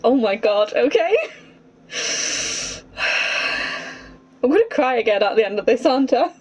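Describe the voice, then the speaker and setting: A woman's voice: on the high side, animated, a little slow, darkish tone. A woman streaming a video game, reacting casually with wry, exclamatory commentary. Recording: game music behind.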